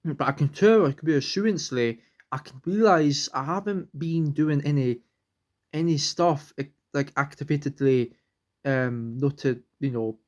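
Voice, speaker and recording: A man's voice: on the high side, a little slow, a little mellow. A young man, vlogging at home, rambling earnestly and restarting sentences as he searches for words. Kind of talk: rambling personal vlog.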